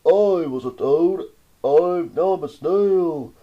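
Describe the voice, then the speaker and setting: A woman voicing a calm magic snail in a storybook, speaking slowly and evenly. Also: on the low side, highs cut off. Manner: slow and measured.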